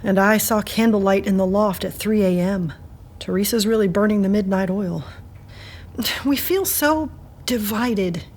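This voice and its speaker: A woman's voice: medium pitch, a little slow, darkish tone, close to an everyday voice. A woman playing a lonely, dejected, sympathetic farm resident in an audio drama, subdued and confiding. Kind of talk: scripted audio drama acting.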